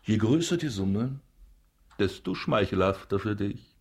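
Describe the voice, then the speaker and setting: Pompous, low-voiced banker father. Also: animated, a little slow, a little mellow, husky, heavily acted. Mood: frank, scheming. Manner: pompous, businesslike. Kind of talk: radio drama acting.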